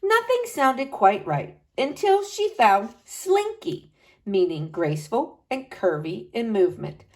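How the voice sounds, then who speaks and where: A woman reads a children's picture book aloud with a fairly high voice. Her pitch rises and falls widely, and she stretches key phrases out for drama. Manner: expressive, slow dramatic emphasis with wide pitch swings. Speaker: storyteller reading to children.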